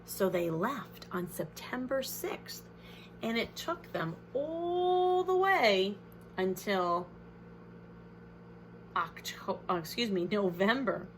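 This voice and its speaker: A woman reads a picture book aloud to young children in an expressive way, adding simple, friendly-worded explanations as a teacher would. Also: medium pitch, slow, a little hiss or hum, low-quality recording.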